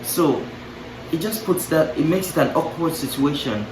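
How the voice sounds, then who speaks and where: A man's voice: on the high side, animated, moderate pace. A young man musing casually to camera, breaking off into playful asides and restarting his thoughts. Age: young adult. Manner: musing, self-interrupting, playful asides with laughs. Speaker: vlogger. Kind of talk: casual reflective monologue to camera. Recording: roomy, distant mic, clear background noise.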